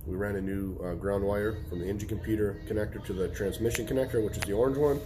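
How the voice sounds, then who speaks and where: A man, an auto mechanic, hoarse from a head cold, giving a plain, matter-of-fact update on a repair. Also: fairly low pitch, steady tone, quick pace, noisy background.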